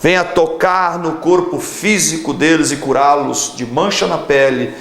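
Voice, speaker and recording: A man in his forties preaches on television in a fervent, emphatic way and leads a prayer for the viewers at home. His voice sits a little high for a man. He stretches out key words for weight. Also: a little slow, fairly bright tone. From Brazil. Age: 40s. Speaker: pastor.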